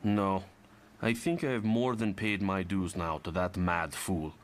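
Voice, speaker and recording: An actor playing a bitter young Russian mutant who is fed up with heroics, defiant and argumentative, in a motion comic. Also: male voice, sits low, unhurried, a little hiss or hum.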